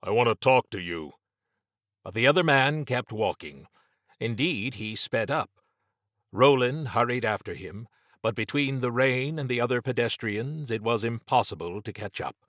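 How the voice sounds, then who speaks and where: A man's voice: medium pitch, animated, moderate pace, fairly bright tone, dry recording. A man reading scripted dramatic fiction as an audiobook narrator, close to the microphone.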